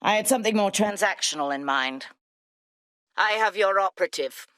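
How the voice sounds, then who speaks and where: A woman's voice: mid-range voice, animated, normal speed, fairly bright tone, dry recording, clean studio sound, lightly acted. An actress playing a ruthless, calculating female spy, in a video game. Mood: menacing. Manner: cool, menacing.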